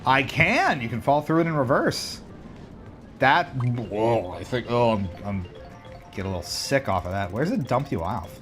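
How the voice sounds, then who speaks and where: Lively joking man streaming a race. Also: mid-range voice, unhurried, soft background music. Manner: joking, chatty, reacts out loud to the race. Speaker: livestream gamer. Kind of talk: casual gameplay commentary.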